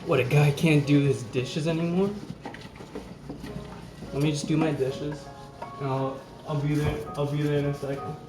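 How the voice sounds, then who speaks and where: A man's voice: on the high side, level tone, moderate pace, pauses often. Casting: male livestreamer, casual and jokey, teasing delivery, echoey kitchen with music behind. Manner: playful, teasing, joking. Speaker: livestreamer cooking at home. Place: home kitchen, indoors.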